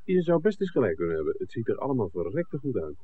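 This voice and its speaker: An actor playing a level-headed screenwriter with a dry, teasing, sceptical way of talking in a 1960s Dutch radio play. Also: male voice, medium pitch, very animated, quick pace, slightly rough. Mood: wry, measured. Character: wry, level-headed male screenwriter, companion to the director. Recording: thin, muffled 1960s radio recording.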